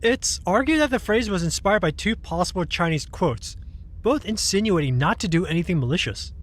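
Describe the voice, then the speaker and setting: A man playing a gentle, thoughtful and knowing guide in an audio drama, with a measured explanatory manner. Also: sits high, lively intonation.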